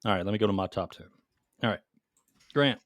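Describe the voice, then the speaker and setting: Guy with a lowish voice doing casual game countdown chat, sweary, close dry sound. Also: fast talker, a bit bright, dry recording. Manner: informal, swearing, bantering. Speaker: podcast co-host.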